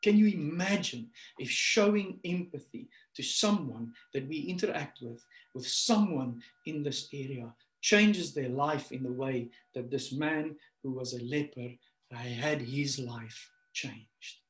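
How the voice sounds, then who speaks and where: A man in his thirties, a pastor giving a sermon over a webcam from a small room, climbing to loud emphatic peaks and dropping to a soft prayer. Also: medium pitch, lively intonation, measured pace. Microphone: webcam on a video call. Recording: echoey room.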